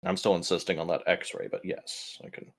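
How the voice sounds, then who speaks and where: Male tabletop player, deep voice, casual in-character chat, dry and inquisitive. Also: flat delivery, moderate pace, vocal fry.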